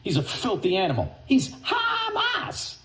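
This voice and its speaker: A man hosting talk radio, ranting and mocking with name-calling and sudden shouted bursts. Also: very high-pitched, wildly swooping pitch, measured pace, echoey room, very noisy. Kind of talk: angry talk radio rant.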